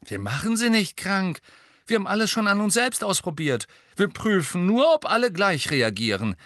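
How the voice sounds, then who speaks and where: A man voicing a cheeky, brash teenage prankster twin in an audiobook story, loud, breezy and quick to argue. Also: medium pitch, expressive, no room sound. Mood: indignant.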